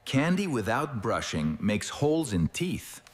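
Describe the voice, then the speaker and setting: A man voicing a kind, patient cartoon dentist who speaks calm, simple broken English. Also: mid-range voice, a little slow, husky, in a large hall. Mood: reassuring.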